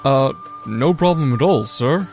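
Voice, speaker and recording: A man's voice: mid-range voice, animated, unhurried, a little mellow, faint music behind. A man acting a big, loyal pony royal guard in an audio drama, dutiful and protective. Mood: reluctant, obedient.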